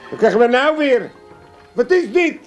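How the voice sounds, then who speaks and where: A crotchety old Amsterdam father in a radio comedy. His voice is high and very lively in its swings. He grumbles bluntly and impatiently, bossing everyone around. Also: normal speed, lo-fi sound, soft background music. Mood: irritated. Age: older. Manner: grumbling, impatient, working-class wisecracking. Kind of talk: comedic radio drama acting.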